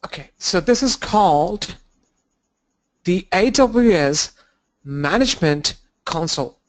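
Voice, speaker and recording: A man with a cold and a blocked nose, teaching an online training lesson with halting fillers and restarts. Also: sits high, rough recording. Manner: halting, restarts and fillers, walks through screens. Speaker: instructor.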